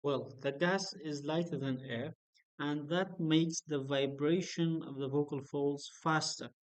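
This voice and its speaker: A man's voice: a little high, steady tone, a little slow. A man giving an online phonetics lecture, hesitant and full of fillers and restarts. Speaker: university lecturer.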